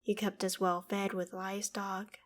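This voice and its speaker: A woman's voice: medium pitch, level tone, moderate pace, natural voice. A woman playing a proud, ancient female dragon in an audio roleplay, speaking in formal, archaic phrases with a haughty, theatrical manner that still holds fondness for humans. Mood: haughty, offended. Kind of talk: scripted audio roleplay monologue.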